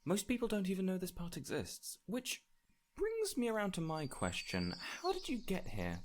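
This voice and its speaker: A man playing a peculiar, eager fey man in an audio roleplay, courteous and theatrical, with flowery, excitable phrasing. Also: sits high, moderate pace. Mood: whimsical, curious. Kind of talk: immersive audio roleplay addressed to the listener.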